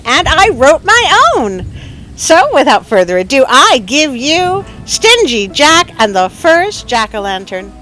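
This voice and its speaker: Woman, story show host, expressive narration, very varied pitch, folksy, soft background music. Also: rough recording. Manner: animated, folksy, addresses listeners as friends.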